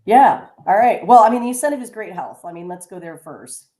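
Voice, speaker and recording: A woman hosting a radio talk show, chatty and halting, with many restarts. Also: mid-range voice, animated, darkish tone, echoey room. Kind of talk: casual radio chat.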